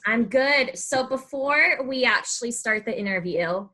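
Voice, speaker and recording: A woman's voice: fairly high pitch, animated, a little slow, noisy background. A young woman hosting a podcast in a casual interview style. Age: young adult. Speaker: podcast host.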